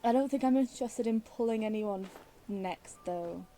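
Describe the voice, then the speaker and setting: A woman's voice: mid-range voice, moderate pace, mellow. A woman chatting casually as she plays a video game, rambling and self-deprecating, with some swearing. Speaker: gamer commentating on her own play. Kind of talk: casual chat while playing a video game. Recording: music behind the voice. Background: video game music.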